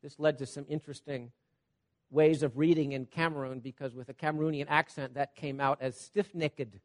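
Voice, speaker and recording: A man with a high voice delivers a sermon in an animated way. He swings from loud, emphatic points to soft, quiet asides and often checks in with his listeners. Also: moderate pace. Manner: repeats "Okay?" to check on listeners. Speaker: preacher.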